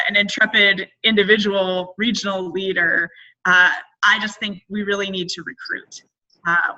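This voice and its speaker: A woman moderating an online group meeting, speaking in formal, deliberate and halting phrases. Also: medium pitch, pushed and bright, rough recording. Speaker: conference call moderator. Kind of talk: formal organizational meeting talk. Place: online group conference call.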